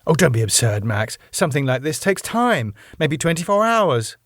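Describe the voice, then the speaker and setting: A man's voice: fairly high pitch, big pitch swings, normal speed, a bit bright, no echo. Man playing a sensible older lady, matter-of-fact, dry close sound. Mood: brisk. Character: calm, sensible older woman, the owner's wife.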